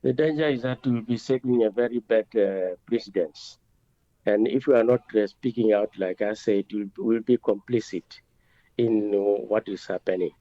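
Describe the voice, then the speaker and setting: A man with a Botswanan accent, answering as a politician in an interview in a halting, deliberate way, with many hesitations. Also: on the low side, dark tone, dry recording, highs cut off. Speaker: member of parliament being interviewed.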